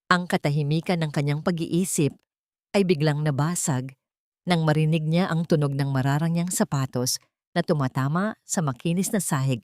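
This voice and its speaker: A woman narrating a scripted dramatic story in a measured, literary manner. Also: low-pitched, a little mellow, no echo, studio quality.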